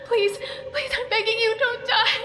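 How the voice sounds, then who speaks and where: A woman's voice: very high-pitched, fairly even intonation, normal speed, noisy background. A woman playing a young nightmare victim in a horror audio drama, distraught and breathless, pleading tearfully. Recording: eerie horror music behind.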